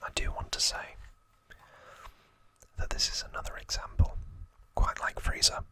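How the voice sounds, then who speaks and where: A man hosting ASMR, narrating trivia in a breathy, hushed whisper with unhurried pauses. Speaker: ASMR host.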